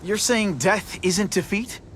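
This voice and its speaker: An actor playing a young, wisecracking but earnest superhero in a video game, with an American accent and a sarcastic, expressive delivery. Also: male voice, high-pitched, takes it slowly, slight background noise. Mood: cocky quipping. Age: young adult.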